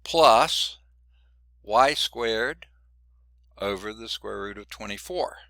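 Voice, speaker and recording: A man's voice: lowish voice, expressive, slow pace, creaky, bright, forceful tone, dry recording. A middle-aged man teaching a college math class, chatty and digressive, sounding congested and often checking in with "okay?". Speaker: math instructor. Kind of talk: classroom lecture.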